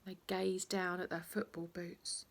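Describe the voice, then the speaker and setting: A woman narrating a children's bedtime story in an expressive, theatrical way, playing up the sound words. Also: on the low side, a little slow.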